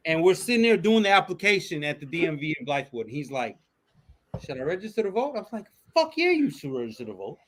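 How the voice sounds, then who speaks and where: A man's voice: a little high, fast. A man co-hosting a casual podcast, talking politics with friends, swearing freely and restarting his sentences. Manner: animated, swearing, many restarts. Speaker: podcast co-host.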